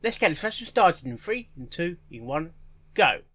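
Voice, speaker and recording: A man hosting a reaction session, commenting casually in halting bursts, interjecting and echoing phrases. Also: a little high, big pitch swings, a bit bright. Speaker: host of a reaction video.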